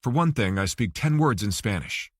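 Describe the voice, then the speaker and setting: A man's voice: lowish voice, lively intonation, normal speed, no echo, clean studio sound, voice pushed down. A man voicing a wry, plain-spoken male ex-lawyer in an audiobook, blunt and direct.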